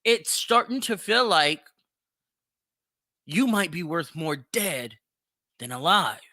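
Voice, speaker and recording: A man's voice: on the high side, big pitch swings, slow pace, bright, forceful tone, dry recording, clean studio sound. A man hosting an opinionated solo commentary show, speaking bluntly and emphatically, swearing freely and repeating phrases for stress. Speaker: podcast host.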